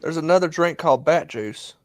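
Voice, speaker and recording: Guy with a slightly high voice, joking around on a panel, dry sound. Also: lively intonation, brisk, dry recording. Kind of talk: casual panel chat.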